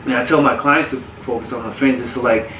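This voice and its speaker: A man who grew up in South Florida, a personal trainer telling his story in a relaxed, candid way with frequent restarts. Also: mid-range voice, fast talker, a little hiss or hum. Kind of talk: casual podcast interview. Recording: roomy, distant mic with faint ambience.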